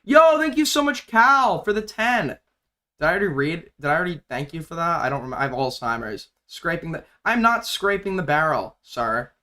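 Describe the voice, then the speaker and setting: A man's voice: on the high side, expressive, fairly quick, bright, forceful tone. A man hosting a livestream, bluntly arguing with a caller and commenting on a video with sarcastic remarks. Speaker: livestream host. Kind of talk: casual livestream commentary and call-in debate.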